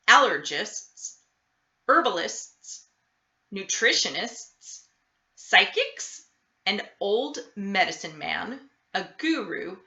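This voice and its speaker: A woman reads a children's story at a slow, measured pace. Her voice is bright and expressive, with deliberate emphasis on key words. The recording is roomy and a little dull. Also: medium pitch. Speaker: storybook reader. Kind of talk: expressive children's picture book read-aloud. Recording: roomy, slightly dull sound.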